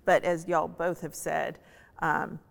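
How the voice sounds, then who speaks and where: A woman from the US South with a deep voice and plenty of vocal fry. She talks conversationally in a panel discussion, pausing as she chooses words. Her voice rings in a long reverb. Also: flat delivery. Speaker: judge, panel guest.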